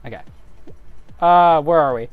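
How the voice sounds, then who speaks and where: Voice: medium pitch, measured pace, smooth, dry recording, phone-quality sound, faint music behind. A narrator giving a dramatic reading of a fan story in a hammy storybook style, with casual self-aware asides.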